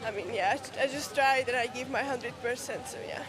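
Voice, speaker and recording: A young woman, an athlete in a casual post-race interview, speaking haltingly as she searches for words. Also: fairly high pitch, low-quality recording. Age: young adult. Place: outdoors at a race venue. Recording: crowd and music behind.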